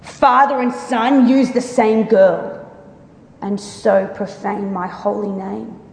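Slow, solemn woman reader in echoing hall. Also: mid-range voice, fairly even intonation, darkish tone. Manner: solemn, declamatory. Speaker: church member reading scripture aloud. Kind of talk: dramatic scripture reading. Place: large reverberant room. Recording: echoey hall with long reverb.

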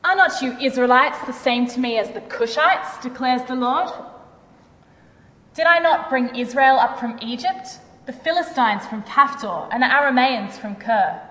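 A woman performs the commanding voice of God in a dramatic Bible reading. The delivery is declamatory and stern, pitched a little high. Long hall reverb trails the words. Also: normal speed, pushed and bright. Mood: stern, wrathful.

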